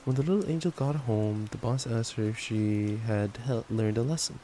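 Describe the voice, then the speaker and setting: Young guy doing cuddly boyfriend asmr, soft dark tone, casual and playful, bit of rain in the background. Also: mid-range voice, normal speed. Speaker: ASMR roleplayer playing a boyfriend. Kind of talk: intimate ASMR boyfriend roleplay with bedtime story reading. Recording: steady rain noise. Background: rain sounds.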